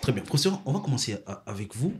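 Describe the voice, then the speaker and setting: A man's voice: mid-range voice, big pitch swings, fast talker, a little hiss or hum. A male talk show host, interviewing guests formally and often repeating himself while searching for words.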